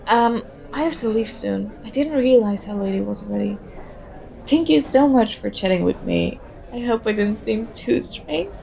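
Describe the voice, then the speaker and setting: A woman's voice: mid-range voice, animated, moderate pace, vocal fry, mellow. A woman playing a very shy, nervous young stranger in an ASMR roleplay, talking hesitantly and self-consciously as she overthinks. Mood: flustered, friendly. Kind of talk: ASMR roleplay, soft conversational acting.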